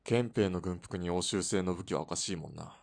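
An actor playing a laconic, easygoing yakuza sniper in a radio drama, with offhand, rough masculine speech. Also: male voice, low-pitched, fairly quick, darkish tone. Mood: hushed, focused. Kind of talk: Japanese radio drama acting. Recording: clear vehicle and ambience noise.

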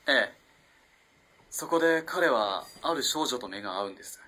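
Guy playing a polite young student in a radio drama, bright husky voice, really animated, gets flustered. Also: mid-range voice, normal speed. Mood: thoughtful, earnest. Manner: polite, eager, hesitant. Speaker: actor in amateur radio drama. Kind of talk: Japanese radio drama acting.

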